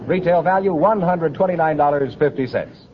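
A man's voice: a little high, normal speed. An American man working as the announcer on an old-time radio quiz show. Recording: dull, noisy old radio recording.

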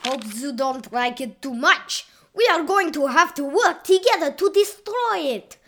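A woman voicing a short, round, polite and eager mushroom waiter in a storybook, with an Italian accent and a showy, drawn-out delivery. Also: high-pitched, animated, sharp tone, pitched above the performer's own voice, heavily acted. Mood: urgent.

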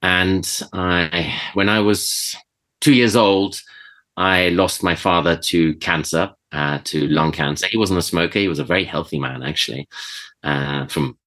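A middle-aged man with a British accent speaks in a very low, bright voice with a forceful edge. He talks in a relaxed, reflective way, pausing on fillers and restarting phrases as he answers interview questions. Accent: British English. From Switzerland. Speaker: chef and podcast guest. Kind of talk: conversational interview.

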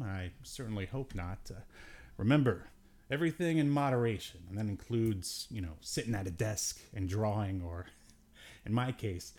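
A man's voice: fairly low pitch, a little mellow. A man hosting a podcast solo in a casual, jokey, self-deprecating way, with hesitant asides. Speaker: podcast host. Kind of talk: casual solo show commentary.

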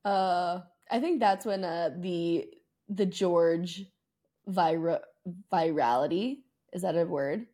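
A woman co-hosting a podcast, chatting casually about sports in an informal, slangy, excitable way. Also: on the low side, takes it slowly. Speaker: podcast co-host.

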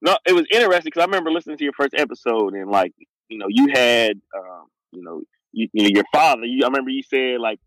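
A man's voice: mid-range voice, animated, quick pace, a bit bright, no room sound, low-quality recording. A man talking loosely in a casual podcast discussion, rambling and filling with "like" and "you know what I mean".

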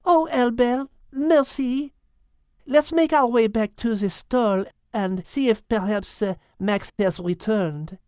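A man doing a high, slow cat lady. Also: clear, resonant tone, no echo, clean studio sound. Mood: concerned. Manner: soft, slow and earnest, sprinkled with French words. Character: gentle, caring female cat, French-speaking. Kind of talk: storybook reading.

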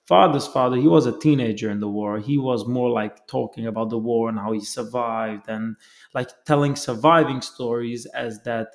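A man's voice: mid-range voice, normal speed, creaky. A man hosting an interview, talking in an earnest, reflective way and often searching for his words. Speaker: host. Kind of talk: casual conversation.

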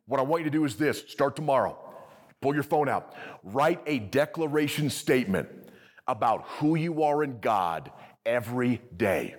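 A man preaching to a live congregation, energetic and conversational, often checking in with the audience. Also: mid-range voice, moderate pace. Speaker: pastor. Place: church service with audience.

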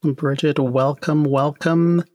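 A man's voice: a little high, fairly even intonation, takes it slowly, darkish tone, dry recording, clean studio sound. A middle-aged man from Jamaica who moved to the US as a child, co-hosting an interview podcast. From Jamaica, moved to the US at seven. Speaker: podcast co-host.

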